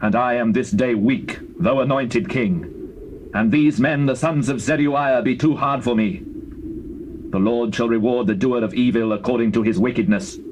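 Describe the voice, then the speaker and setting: Casting: male actor as grave commanding ancient king, weighty measured speech, medium pitch, slightly noisy cassette. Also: darkish tone, natural voice. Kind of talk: dramatized Bible reading. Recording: noisy old cassette tape transfer.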